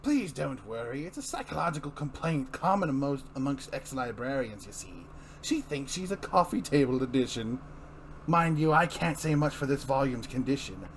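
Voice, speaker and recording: A man's voice: mid-range voice, big pitch swings, normal speed. A man voicing a smug villainous clown with florid, mockingly polite menace in an amateur comic-book reading. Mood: gloating mockery. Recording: clearly noisy room recording.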